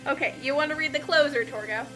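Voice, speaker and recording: A woman's voice: high voice, quick pace, bright, forceful tone, soft background music. A woman reading a story aloud in a playful, dramatic way, with casual asides as a co-host.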